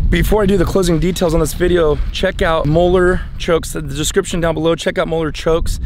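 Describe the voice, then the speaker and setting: An American man in his forties hosting a casual hunting vlog, explaining things plainly and informally outdoors. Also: fairly high pitch. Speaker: hunting channel host. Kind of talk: casual product test vlog. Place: outdoors in an open dry yard. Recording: clear outdoor ambient noise.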